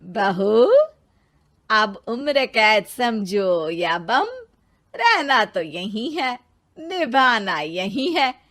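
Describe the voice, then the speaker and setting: A woman plays a stern Indian mother-in-law in a cartoon family tale. She is commanding and disapproving, scolding in slow, sarcastic phrases with a lively, high voice. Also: full character voice. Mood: stern warning. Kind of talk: animated moral story acting.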